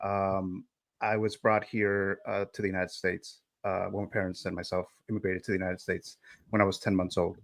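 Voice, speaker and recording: Low-voiced Mexican-born man, halting. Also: little pitch movement, gravelly, a bit bright, no echo. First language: Spanish. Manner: halting, many fillers. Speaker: co-host. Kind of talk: casual livestream chat.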